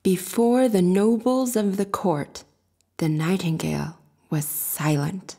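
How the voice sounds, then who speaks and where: A woman's voice: on the low side, fairly even intonation, slow, vocal fry, warm tone. A woman narrating a scripted fairy tale as a storyteller.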